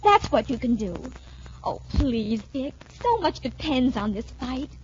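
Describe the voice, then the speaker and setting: A woman's voice: high-pitched, very animated, a little slow, lo-fi sound. A woman playing an earnest, anxious teenage girl in 1940s radio adventure style, speaking with urgent emphasis. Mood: urgent, pleading. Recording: band-limited 1940s radio recording.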